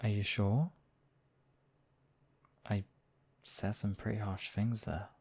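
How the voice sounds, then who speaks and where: A man playing a demon king in an intimate ASMR roleplay, husky and theatrical, with deliberate phrasing and lingering pauses. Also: lowish voice, flat delivery, a little mellow, exaggerated voice. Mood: tender, concerned. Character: demon king, menacing captor act turning tender, devoted lover.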